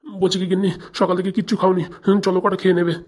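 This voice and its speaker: A woman's voice: medium pitch, level tone, racing, darkish tone, husky, close to an everyday voice. A woman voicing a stern but protective older husband and father in a cartoon, exasperated and coaxing. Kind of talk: cartoon domestic drama.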